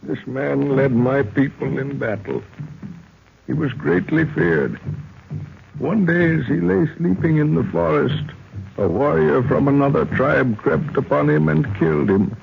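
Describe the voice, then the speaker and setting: Slow, creaking old African elder. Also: male voice, medium pitch, expressive, full character voice. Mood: grave, foreboding. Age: older. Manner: solemn, slow, archaic storytelling. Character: dying old African village elder, solemn and wise. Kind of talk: old-time radio drama acting. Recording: dull, noisy vintage radio recording.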